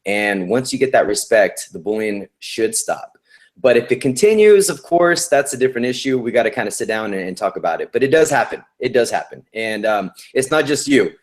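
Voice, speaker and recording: A man's voice: mid-range voice, expressive, fairly quick. Man, male nurse, interview guest, upbeat, slangy, encouraging, casual chat.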